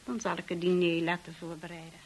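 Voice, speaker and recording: An actress playing a stern, icily formal housekeeper of a grand manor in a radio drama, stiff and coolly deferential. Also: female voice, on the low side, flat delivery, quick pace, slightly rough. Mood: cold, formal.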